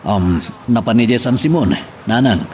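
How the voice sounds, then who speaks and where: A man's voice: on the low side, big pitch swings, a little slow, slight background noise. A man with an Ilocano accent playing an intense, bitter man with a grudge in a radio drama. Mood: uneasy.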